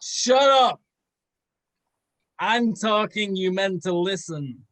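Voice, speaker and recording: A man voicing an indignant, overbearing man with a loud, petulant, commanding delivery, in a comic fan fiction reading. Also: high-pitched, animated, slow pace, no echo, exaggerated voice. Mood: bossy.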